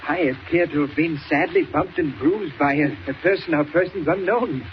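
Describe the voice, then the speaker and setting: An actor playing a fussy, genteel older gentleman with a pompous, wordy manner in a 1940s radio serial. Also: fairly high pitch, normal speed, close to an everyday voice. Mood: startled. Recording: noisy, dull old radio transcription.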